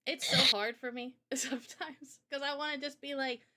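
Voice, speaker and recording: A woman's voice: high voice, fairly even intonation, moderate pace, heavy background noise. A woman hosting a live stream in casual, unscripted conversation, speaking earnestly and passionately about art as an illustrator. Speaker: live stream host interviewing a guest.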